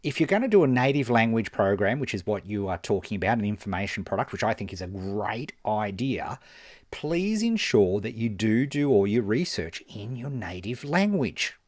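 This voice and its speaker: A man answering viewer questions on a live stream as a marketing coach, animated and emphatic, often restarting his sentences. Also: lowish voice, normal speed, dry recording, clean studio sound. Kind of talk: live-stream Q&A, casual explaining.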